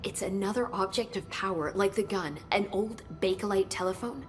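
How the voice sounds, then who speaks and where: An actress playing an earnest, knowledgeable government researcher in a video game, explaining in a measured, understated way. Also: female voice, mid-range voice, soft background music, lightly acted. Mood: helpful, informative. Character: earnest, knowledgeable female government agency researcher, briefing her director. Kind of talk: video game cutscene acting.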